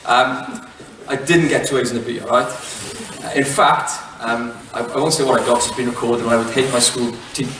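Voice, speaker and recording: A middle-aged Welsh man giving a relaxed public talk, chatty and self-mocking, with plenty of fillers and restarts. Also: medium pitch, fairly quick, a little hiss or hum. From Wales. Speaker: head teacher giving a talk. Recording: echoey hall, mic from far off.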